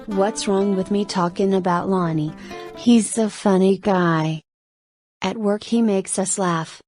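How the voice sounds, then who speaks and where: A woman's voice: medium pitch, slow pace, a little mellow, faint music behind. A woman acting in an audio play as a skeptical, exasperated girlfriend who talks in a plain, challenging way. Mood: pleading, defensive.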